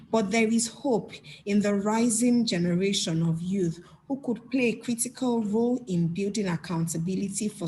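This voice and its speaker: A woman from Nigeria reading a measured, formal prepared statement. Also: mid-range voice, a little mellow, slight background noise.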